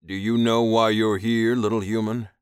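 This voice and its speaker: A man's voice: lowish voice, little pitch movement, smooth, no echo, clean studio sound, lightly acted. A man voicing a smug, domineering alien male with a growling, commanding delivery in an audiobook story. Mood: smug amusement. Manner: commanding, amused, deliberate.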